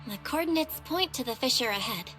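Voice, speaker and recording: A young female squad member in a video game. She sounds youthful and high, caring and alert. Music is clearly audible behind her. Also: expressive, fairly quick, a bit bright. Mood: reassuring.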